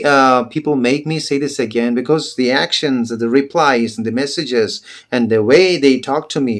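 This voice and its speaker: A young adult man whose first language is Malayalam, giving an earnest, insistent motivational talk to English learners, repeating his points and pushing up his voice for emphasis. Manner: earnest, insistent and repetitive, rising in pitch when stressing points. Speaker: English teacher.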